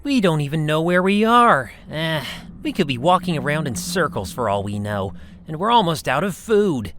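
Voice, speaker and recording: A woman's voice: deep voice, big pitch swings, normal speed, a bit bright, slight background noise. Blunt young adventurer played by a woman, swooping intonation. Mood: worried, frustrated. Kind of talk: amateur audio drama acting.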